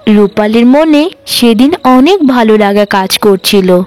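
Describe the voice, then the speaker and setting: A woman's voice: a little high, animated, measured pace, darkish tone. A woman narrator reading a scripted story.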